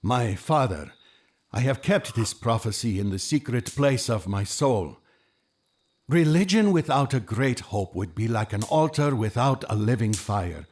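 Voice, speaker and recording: An actor in an audio drama playing an earnest, devout Magus, speaking in a solemn, impassioned, oratorical manner. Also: male voice, mid-range voice, animated, a little slow, darkish tone. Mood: fervent. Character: Artaban, earnest devout male Persian Magus, fervent seeker.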